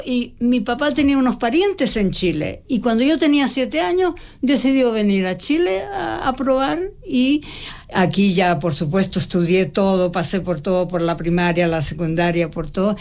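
An older woman, a stage actress, answering interview questions in a reflective, halting way with frequent restarts. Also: medium pitch, darkish tone. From Mexico. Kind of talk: conversational interview.

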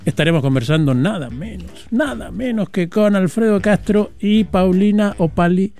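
A man hosting a radio interview, chiming in with quick teasing remarks. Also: high voice, darkish tone, a little hiss or hum. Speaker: radio host.

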